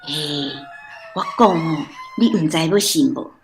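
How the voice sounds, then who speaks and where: A woman's voice: low-pitched, a little slow. An actress playing a chatty, traditional old village grandmother with a Taiwanese accent in a radio drama, talking in a folksy way. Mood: gossipy.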